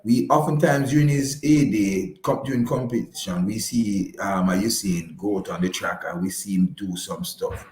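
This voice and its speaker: A man's voice: fairly low pitch, moderate pace, few pauses, darkish tone. Jamaican man explaining emphatically, echoey room. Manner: emphatic, repetitive, didactic, checking with "right?". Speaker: guest explaining personal finance. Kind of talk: conversational explanatory radio talk.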